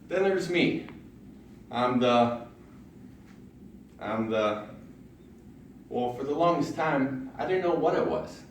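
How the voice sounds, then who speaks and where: Deep-voiced man in an echoey room. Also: distant mic, a little hiss or hum. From Ohio, USA. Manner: self-deprecating humor, storytelling with punchlines. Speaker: speaker at a public speaking club. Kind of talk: prepared personal speech. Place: large meeting room in a library. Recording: very echoey room, recorded from afar.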